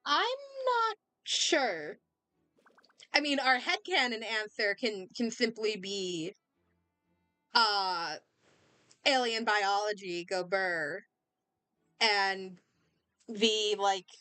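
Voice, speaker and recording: Female game streamer, mid-pitched, casual chatty commentary, close dry mic, soft background game music. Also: lively intonation, drawn-out words, long pauses, clear, resonant tone, dry recording. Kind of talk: casual game commentary mixed with reading dialogue aloud.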